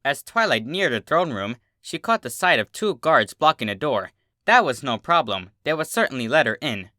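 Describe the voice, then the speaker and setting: A man giving an expressive scripted narration of a story, as the host of a readings channel. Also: mid-range voice, moderate pace, dry recording, studio quality.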